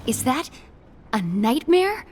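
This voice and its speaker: A woman's voice: high-pitched, big pitch swings, slow pace, a little mellow, slight background noise, heavily acted. An actress playing a composed, diplomatic woman warrior in a video game cutscene, formal and firm. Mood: questioning. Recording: music under the dialogue.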